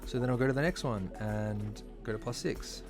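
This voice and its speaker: A man talking casually while live-streaming a game, slightly hoarse, chatty and full of fillers, from a home streaming setup. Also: medium pitch, moderate pace. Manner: chatty, filler-heavy, talks while playing. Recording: clear background music under voice.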